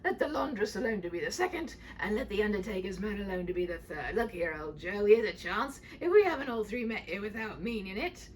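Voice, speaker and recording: Woman acting a brazen, defiant charwoman, swooping pitch. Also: animated, a little fast, hardly any pauses, far from the mic, a little hiss or hum, lightly acted. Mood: brash, gleeful. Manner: brazen, challenging, exclamatory working-class talk. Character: bold, defiant, brazen charwoman selling stolen goods.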